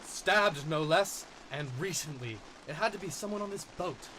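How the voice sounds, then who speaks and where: A man's voice: high-pitched, moderate pace, a loud crowd, close to an everyday voice, poor audio. A man playing a pompous, dramatic eldest son who takes charge of the family in an amateur murder mystery audio drama. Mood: accusing. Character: pompous eldest son Frank, self-appointed family head.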